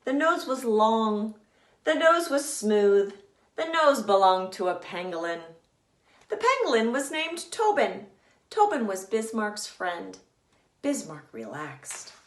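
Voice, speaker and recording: A woman author reading a children's story aloud in a deliberate, teacherly, emphatic way. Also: on the high side, very animated. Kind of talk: expressive children's storybook narration. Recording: echoey room.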